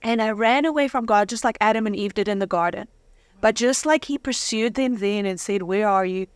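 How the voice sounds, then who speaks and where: A woman's voice: mid-range voice, fairly quick, smooth, dry recording. A woman telling her personal testimony as an interview guest, with dramatic, candid storytelling and slangy humour.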